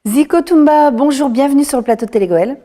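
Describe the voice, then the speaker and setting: A woman presenting local TV news in a studio, asking an interview guest brisk prepared questions. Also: high voice, music in the background. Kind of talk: TV interview hosting.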